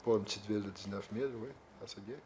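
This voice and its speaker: A man in his sixties with a Québécois accent, speaking in a measured, halting way with many fillers in a documentary interview. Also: lowish voice, steady tone, a little mellow, slight background noise. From Quebec, Outaouais. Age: 60s. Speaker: interviewee, longtime local resident.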